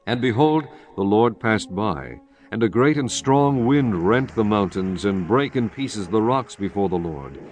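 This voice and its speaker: A man narrating a dramatized Bible from a script, solemn and measured in his storytelling. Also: lowish voice, animated, a little mellow. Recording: music bed under the voice. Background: background music.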